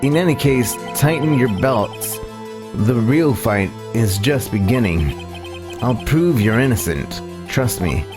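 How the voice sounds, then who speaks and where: A man's voice: medium pitch, animated, slow. A man voicing a determined, loyal young defense attorney in a video game, earnest and resolute. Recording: loud game music underneath. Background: video game music.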